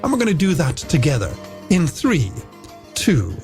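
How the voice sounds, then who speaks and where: A man hosts a late-night radio show over a music bed. His voice sits a little high and swings widely in pitch, with a gravelly creak at the edges. He delivers chatty, playful patter like a scripted link between songs. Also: huge pitch swings, moderate pace. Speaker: radio presenter.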